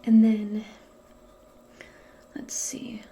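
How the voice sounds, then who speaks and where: A woman speaking softly and breathily, often in a whisper, in a gentle, hesitant ASMR roleplay as a modern witch. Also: mid-range voice, monotone, breathy edge. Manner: gentle, unhurried, hesitant and improvised. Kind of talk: soft-spoken, whispered ASMR personal attention roleplay.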